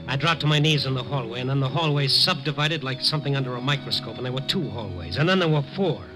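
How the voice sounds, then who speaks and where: A man's voice: mid-range voice, lively intonation, fast talker, music in the background. A man narrating as a hard-boiled reporter in a 1950s radio drama, wry and wisecracking.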